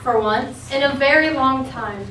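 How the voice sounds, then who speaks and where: Echoey far-off stage recording, young woman as earnest dramatic narrator, high voice, scripted storytelling. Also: unhurried, sharp tone. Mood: suspenseful. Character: earnest storyteller of a tragic adventure tale. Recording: distant, echoey stage recording, faint noise.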